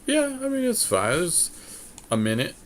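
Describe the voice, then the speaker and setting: A man co-hosting a game podcast, casually thinking his plays out loud with a friend, joking and swearing. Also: sits high, huge pitch swings, unhurried. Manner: profane, joking; deliberating aloud, thinking through plays. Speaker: podcast co-host playing a card game draft.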